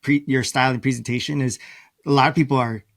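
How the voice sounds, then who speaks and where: A man's voice: medium pitch, steady tone, fast, dry recording, studio quality. A man hosting a podcast, speaking in a casual conversational way with an appreciative, complimentary manner. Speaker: podcast host.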